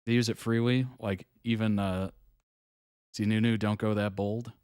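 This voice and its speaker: A man hosting a reaction video, giving relaxed, chuckling commentary in short approving remarks. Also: fairly low pitch, normal speed, smooth, no echo, studio quality.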